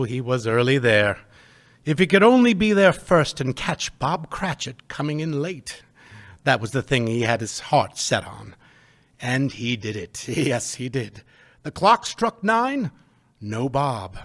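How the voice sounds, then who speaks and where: A man narrating a live stage radio play in a measured storytelling way. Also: fairly high pitch, a bit bright.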